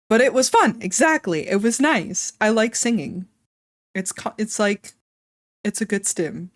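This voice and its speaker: A woman's voice: medium pitch, slow pace, pauses often, dry recording, clean studio sound. A woman streamer chatting casually with her viewers, slightly congested and wheezy, swearing casually and laughing often. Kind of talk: casual live-stream chat.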